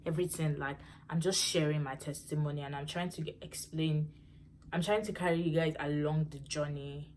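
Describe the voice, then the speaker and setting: Young Yoruba-accented Nigerian woman, low voice, casual direct vlog chat. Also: darkish tone, slight background noise. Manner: chatty and direct, often addresses viewers. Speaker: vlogger. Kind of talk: casual personal testimony to camera.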